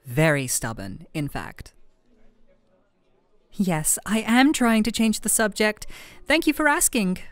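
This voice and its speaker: A woman's voice: on the low side, dry recording. A woman playing a shy, flustered best friend with a hidden crush in an intimate audio roleplay, talking in a hesitant, teasing, conversational way. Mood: playfully teasing.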